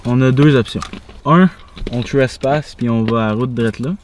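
A man's voice: mid-range voice, moderate pace, a little mellow, a little hiss or hum. A young man with a Québécois French accent, talking casually and using slang while exploring outdoors. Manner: slangy, laid-back, matter-of-fact. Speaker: urban explorer. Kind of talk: casual outdoor vlog chat.

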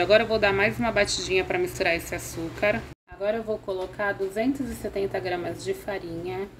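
A woman's voice: medium pitch, background noise. A woman hosting a home cooking channel, walking through a recipe step by step in a friendly way, fond of diminutives. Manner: friendly, uses many diminutives.

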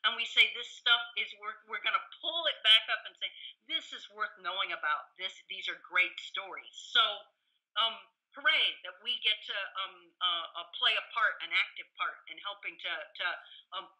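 A mature woman with a strikingly bright, sharp voice speaks as an academic guest in an interview. She is enthusiastic and emphatic, doubling back on words as she builds a thought. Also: medium pitch, moderate pace, poor audio. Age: middle-aged or older. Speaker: professor and author, podcast guest. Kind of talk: conversational interview.

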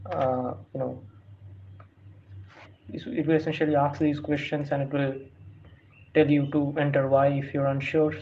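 A man who is not a native English speaker walks through a screen-recorded tutorial, reading prompts aloud with many fillers and restarts. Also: fairly high pitch. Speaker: tutorial host. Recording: dull lo-fi sound with faint hiss.

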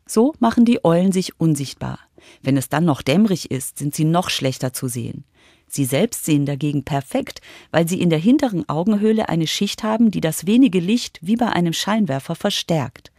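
A woman's voice: fairly low pitch, animated, moderate pace, darkish tone, no echo, studio quality. A woman narrating a scripted children's radio feature as its reporter.

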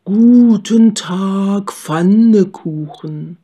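Casting: storybook cow played by a woman, loud, very slow drawl, hungry and coaxing. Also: medium pitch, husky, pitched above the performer's own voice. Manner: slow, drawn-out, mooing.

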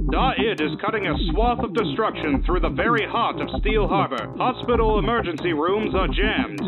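Urgent male cartoon newscaster, played by an actor: high voice, thin radio filter, loud music. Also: phone-quality sound. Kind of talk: dramatic news bulletin in a comic dub.

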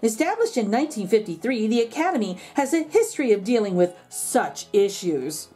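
A woman's voice: a little high, very animated, brisk, warm tone, slightly rough, faint music behind. A woman hosting a children's read-aloud, narrating expressively and adding comments on the book. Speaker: storybook read-aloud host.

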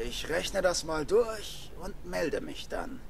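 A sly drifter from a video game, very gravelly and slow. His casual drawl swings up and down, with clear noise behind it. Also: huge pitch swings, full character voice. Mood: wary, casual. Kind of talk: dramatic video game dialogue. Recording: noisy, with ambient rumble behind.

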